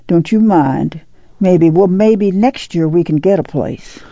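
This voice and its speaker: A woman acts a rural mother, soothing and strong-willed. Her voice is very dark and soft-edged, with folksy country phrasing. It is a dry, slightly muffled recording of a dramatized novel. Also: on the low side, slow pace, dry recording. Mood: gentle, soothing. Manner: plain-spoken folksy country speech. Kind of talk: dramatized literary reading. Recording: dull, muffled audio.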